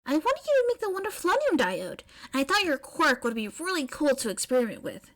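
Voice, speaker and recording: A woman's voice: high-pitched, big pitch swings, fairly quick, a bit bright, pitched above the performer's own voice. A woman voicing a blunt, eager teenage girl inventor in love, bubbly and emphatic, in a fan fiction audio reading. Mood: puzzled, frank.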